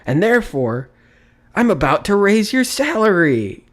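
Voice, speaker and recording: An old gentleman from a classic storybook, acted out by a man. He is emphatic and theatrical, with big swings in pitch between gruff mock severity and giddy goodwill. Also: very animated, unhurried. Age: older.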